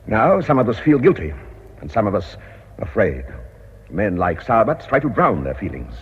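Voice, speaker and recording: An actor playing a grave, reproachful English clergyman in a radio drama, formal and sermonizing, with a hoarse, breathy voice. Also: fairly low pitch, big pitch swings, moderate pace, exaggerated voice. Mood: solemn. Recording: muffled radio drama, reverberant hall.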